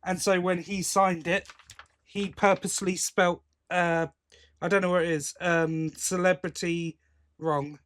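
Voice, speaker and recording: A man's voice: high-pitched, little pitch movement, measured pace, a bit bright. A British man hosting a podcast in casual chat, rambling along and often interrupting himself. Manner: chatty, rambling, self-interrupting. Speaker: podcast host.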